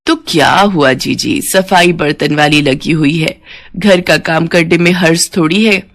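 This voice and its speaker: Lady doing a kind older mom-in-law for a storybook, lower voice, soothing, softly scolding. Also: unhurried, vocal fry, full character voice. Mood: calm, reassuring. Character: gentle older mother-in-law, loving peacemaker.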